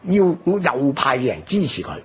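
A man with a husky, rough voice and a Hong Kong Cantonese accent, explaining history emphatically in a radio discussion, posing rhetorical questions. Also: medium pitch, big pitch swings, normal speed, slight background noise.

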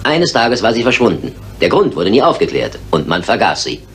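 An actor playing a shrewd, composed detective in a German radio crime drama, deliberate, ironic and self-assured. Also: male voice, on the low side, wildly swooping pitch, fairly bright tone, slight background noise, heavily acted. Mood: measured, probing. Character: shrewd, composed male detective, sceptical of ghosts.

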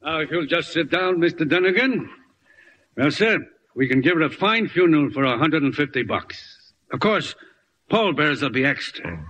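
A man's voice: a little high, animated, normal speed, a bit bright, muffled recording. A man playing a grasping, self-righteous small-town funeral director in old-time radio style, businesslike and hard-bargaining. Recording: noisy vintage radio recording.

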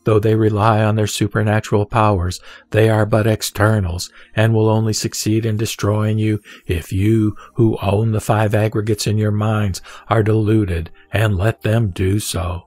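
A man reading the words of the Buddha as a calm, wise teacher, in a measured and solemn scripted recitation. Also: on the low side, dry recording, natural voice.

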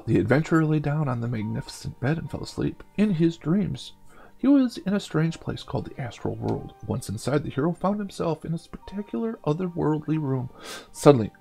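A middle-aged man hosting a gaming show, reading a story aloud dramatically and dropping in wry, joking asides, with a gravelly, husky voice. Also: on the high side, sing-song, brisk, a little mellow, soft background music.

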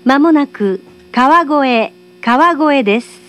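A woman's voice: sits high, lively intonation, slow pace. A woman giving formal, polite, carefully enunciated scripted train announcements, recorded from a carriage speaker.

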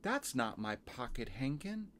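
A man's voice: medium pitch, sing-song, unhurried, fairly bright tone, a little hiss or hum, voice pushed up. A man voicing a curious little girl in a children's storybook, asking things in a direct, childlike way. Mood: puzzled. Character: curious little girl searching for lost handkerchiefs.

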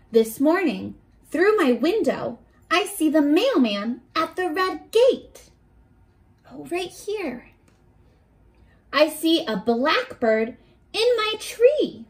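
High, lively young woman reading to children. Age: 20s. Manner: expressive, clearly addressing young children. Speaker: teacher reading a picture book aloud. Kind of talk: children's read-aloud with simple questions to listeners. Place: small furnished bedroom, indoors.

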